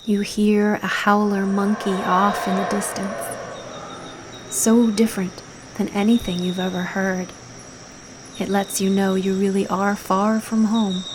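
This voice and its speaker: A woman narrating a guided visualization in a soft, soothing, deliberate voice with breathy phrase endings and long pauses. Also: medium pitch, background noise.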